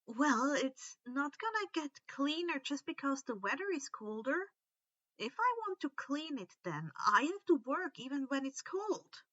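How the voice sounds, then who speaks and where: Woman voicing an eager, high-pitched young boy. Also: very animated, a little slow, fairly bright tone, dry recording, lo-fi sound, exaggerated voice. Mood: earnest. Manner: hesitant, stammering, earnest. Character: Percy, eager earnest young boy who waterbends. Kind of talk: amateur fan fiction reading.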